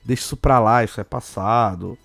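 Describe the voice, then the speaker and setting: Hoarse Brazilian man hosting a podcast. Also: medium pitch, a little fast, fairly bright tone, no echo, soft background music. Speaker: podcast host. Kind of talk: casual solo podcast talk.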